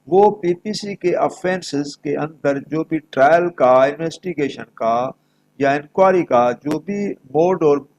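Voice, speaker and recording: A man's voice: fairly high pitch, darkish tone, rough recording. A man with a Pakistani accent lecturing on legal provisions in an emphatic, didactic manner. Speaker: law lecturer.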